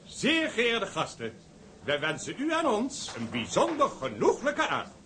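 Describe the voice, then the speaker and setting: An actor playing a formal, courteous gentleman host in a Dutch period drama, speaking in a stately, ceremonious way with small hesitations. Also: high voice, wildly swooping pitch, unhurried, very noisy, exaggerated voice. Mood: ceremonious, welcoming. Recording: chattering party crowd behind.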